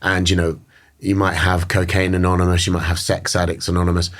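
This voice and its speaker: A British man with a very low, deep voice talks slowly and thoughtfully in an interview. He pauses often and circles back on his phrases as he works through each idea. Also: monotone. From Britain. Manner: measured, thoughtful, many fillers and restarts. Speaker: guest. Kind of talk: reflective interview talk.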